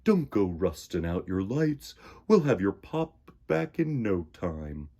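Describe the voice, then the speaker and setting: A man's voice: low-pitched, sing-song, slow pace, warm tone, voice pushed down, full character voice. A man voicing a kindly, folksy tow truck in a storybook, soothing and consoling. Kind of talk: storybook character voice.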